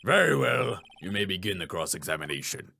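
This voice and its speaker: A man's voice: sits low, sing-song, brisk, vocal fry, sharp tone, slight background noise, voice pushed down, full character voice. A man voicing a solemn old courtroom judge in a video game, formal and ponderous.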